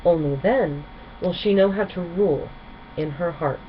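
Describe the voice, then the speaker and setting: A woman voicing a gentle, reassuring pony princess who mentors others in a storybook. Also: lowish voice, moderate pace, muffled recording, slight background noise, natural voice. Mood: gentle, instructive.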